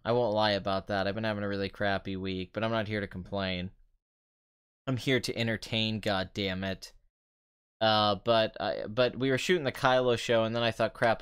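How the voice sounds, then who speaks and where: A man's voice: on the low side, a bit bright, clean tone. Nasal, stuffy-voiced man rambling casually. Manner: rambling, many fillers and restarts. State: nasal, plugged-up voice with a tremble. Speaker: movie reviewer live-streaming. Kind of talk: casual live-stream chat. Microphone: desk mic with compression.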